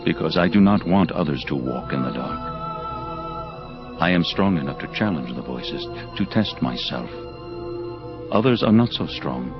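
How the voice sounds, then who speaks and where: An actor playing a proud, intense angel captain of the heavenly host in an audio drama, speaking in a formal, stately way. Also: male voice, extremely low pitch, animated, normal speed, pauses often. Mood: brooding, proud. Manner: formal, stately, contraction-free speech. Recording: muffled lo-fi audio drama with music. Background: prominent music.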